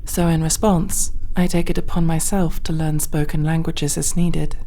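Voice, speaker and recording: Woman playing an aloof, low-voiced alien crewmate. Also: flat delivery, warm tone, slight background noise, rough recording. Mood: formal, explanatory. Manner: stiff, precise, clinical phrasing with deliberate pauses. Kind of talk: ASMR roleplay addressed to the listener.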